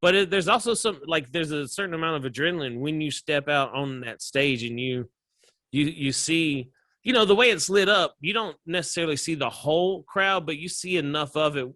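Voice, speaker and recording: A man with a Southern drawl tells his stories with energy, laughing as he goes and acting out the people in them. His voice is bright and forceful and sits a little high. The sound is close and dry. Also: moderate pace, dry recording. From American South. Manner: animated storyteller, laughs often, acts out voices. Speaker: comedian guest. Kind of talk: casual interview chat.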